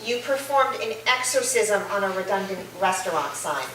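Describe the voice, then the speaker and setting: A woman's voice: mid-range voice, lively intonation, normal speed, lightly acted. A woman playing a skeptical, irritable college student in a staged play reading, dry and sarcastic. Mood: annoyed, sarcastic. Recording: very echoey room, distant, noisy.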